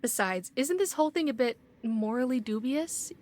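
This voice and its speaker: A woman's voice: fairly high pitch, animated, normal speed, darkish tone, lightly acted. A woman playing a skeptical, by-the-book investigator in an audio drama, speaking precisely and argumentatively. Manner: precise, argumentative, matter-of-fact. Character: skeptical, bookish young female paranormal investigator, stubborn and by-the-book.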